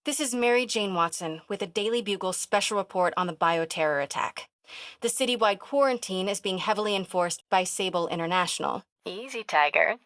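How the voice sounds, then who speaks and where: An actress with an American accent playing a brisk, teasing young newspaper reporter in a video game. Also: female voice, medium pitch, fairly bright tone, no room sound, clean studio sound, close to an everyday voice. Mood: formal reporting. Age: young adult. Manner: casual, quick-witted phone chatter.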